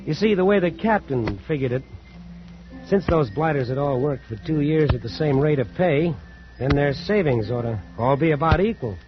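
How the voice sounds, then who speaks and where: A man's voice: fairly high pitch, lively intonation, normal speed, natural voice. A man acting as a hot-headed, blunt ship's first mate with salty sailor talk in an old-time radio play. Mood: wry storytelling. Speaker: actor and narrator in a radio play. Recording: muffled vintage radio recording with music.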